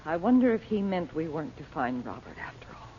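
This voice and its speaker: A woman's voice: low voice, sing-song, fairly quick, warm tone. A woman playing an anxious, caring mother in an old-time radio adventure serial. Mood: hesitant, concerned. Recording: dull, noisy vintage radio recording.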